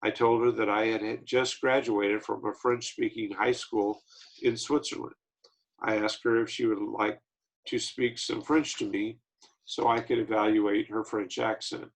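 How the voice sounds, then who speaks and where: Man with a low gravelly husky voice. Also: little pitch movement, unhurried, rough recording. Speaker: reader of an autobiography. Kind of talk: reading a memoir aloud.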